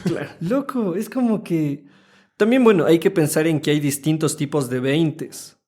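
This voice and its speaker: A man in his thirties with an Ecuadorian Spanish accent talks in a lively, teasing way as co-host of a casual podcast. His voice sits a little higher than most men's. He keeps the chat moving. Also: normal speed. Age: 30s. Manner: animated, chatty, playful teasing.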